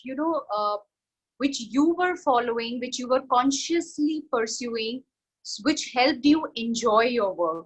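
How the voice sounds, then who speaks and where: Lady host, a bit high voice, long rambly questions. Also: husky, poor audio. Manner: long winding questions, rephrases as she goes. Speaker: interview host, happiness coach. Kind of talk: casual interview questions.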